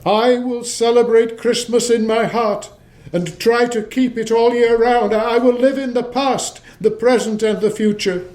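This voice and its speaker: An actor playing an excitable old miser in a radio play, stammering and exclaiming theatrically. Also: male voice, very high voice, unhurried, rough recording. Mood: desperate pleading. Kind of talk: stage-like radio play acting.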